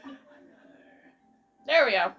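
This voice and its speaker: A woman's voice: takes it slowly, fairly bright tone, faint music behind. A woman giving casual gameplay commentary as she plays. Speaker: gamer, let's player.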